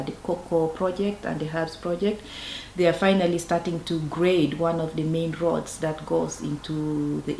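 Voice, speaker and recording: A woman from northeastern Uganda, speaking, who founded a farming project and talks in an earnest, measured way in a documentary interview. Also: fairly low pitch, darkish tone. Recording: echoey room, faint background noise.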